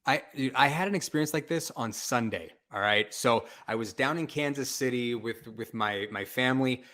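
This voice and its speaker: A man with a medium-pitched voice hosts a call-in show in a loose, conversational style. He talks in informal slang, leaning emphatically on his points like someone telling a story among friends. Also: moderate pace, pushed and bright. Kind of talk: casual chat, storytelling.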